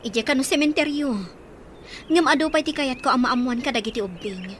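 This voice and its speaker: A woman's voice: high voice, a little fast, background noise, lightly acted. An actress playing a concerned, helpful woman in an Ilocano radio drama, speaking in an earnest, conversational way. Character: concerned helpful woman taking in orphaned children.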